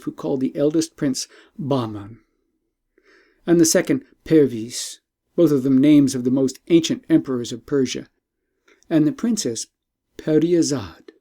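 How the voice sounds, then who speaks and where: A man narrating an old tale as an audiobook in a measured, formal storytelling manner. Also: a little high, mellow.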